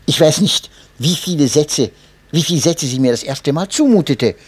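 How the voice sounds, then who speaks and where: A man reading a memoir aloud as narrator, in an expressive and measured way. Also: fairly high pitch, breathy edge. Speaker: audiobook narrator.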